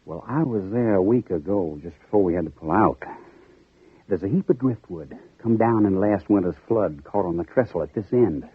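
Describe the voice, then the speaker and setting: A polite country corporal with a drawl and a slightly deep, rough-edged voice. He is homespun yet cunning, in radio-play style. The sound is dry, muffled old radio. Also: lively intonation, normal speed, dry recording, full character voice. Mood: grave, sly. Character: folksy Southern corporal, secretly a cunning Union spy. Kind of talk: 1940s-50s radio drama acting. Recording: muffled narrow-band old radio recording.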